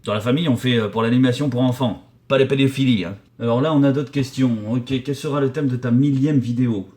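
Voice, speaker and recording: A man in his forties from the Paris region answers viewer questions about his work as a painter and decorator. He talks in a loose, joking way with crude humor, many fillers and restarts. His voice sits at an ordinary middle pitch. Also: fairly even intonation, fast talker, darkish tone. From Paris region, France. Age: 40s. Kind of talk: casual vulgar chat.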